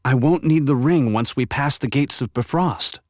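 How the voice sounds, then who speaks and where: A man's voice: medium pitch, moderate pace, a little mellow, dry recording, clean studio sound, natural voice. A man voicing an impulsive but caring young half-elf adventurer in a video game cutscene. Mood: determined.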